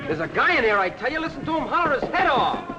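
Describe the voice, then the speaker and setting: A man's voice: very high-pitched, very animated, fast talker, bright, forceful tone, dull audio, slight background noise, exaggerated voice. A man acting a rowdy, jokey press room reporter in a 1940s film. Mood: rowdy, agitated. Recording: echoey, distant, crowded old film room.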